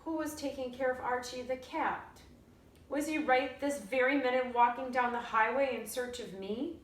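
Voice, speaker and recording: A woman teacher reading a story aloud in an expressive storytelling style. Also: high voice, normal speed. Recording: roomy echo, distant mic.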